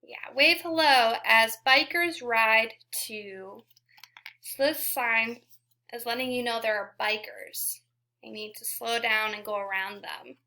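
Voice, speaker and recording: A woman's voice: fairly high pitch, slow pace, pushed and bright, rough recording. A woman teacher reading a picture book aloud to young children, asking questions and giving frequent encouraging praise. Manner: encouraging, prompting, repeats praise to listeners.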